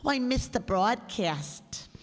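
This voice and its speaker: A woman's voice: fairly high pitch, sing-song, slow. A woman playing a chatty, nosy grocery cashier in a live radio play, gushing and full of exclaimed questions. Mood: gushing, curious. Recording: reverberant hall, live stage performance.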